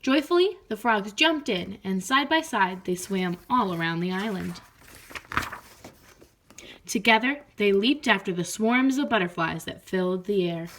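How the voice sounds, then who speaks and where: A woman speaking as a teacher in an expressive read-aloud style meant for children. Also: mid-range voice, measured pace, a little hiss or hum. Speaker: teacher reading aloud to pupils.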